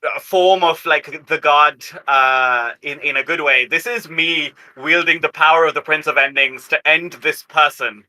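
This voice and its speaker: A man playing a tabletop roleplaying game, talking through his moves casually but with deliberate, somewhat formal phrasing. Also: a little high, steady tone, pushed and bright, low-quality recording.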